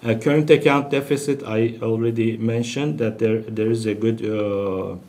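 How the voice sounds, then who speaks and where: A man presenting financial results as a bank executive, speaking in a halting, hesitant way with searching pauses. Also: fairly low pitch, steady tone, a little mellow. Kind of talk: business presentation, unscripted financial briefing.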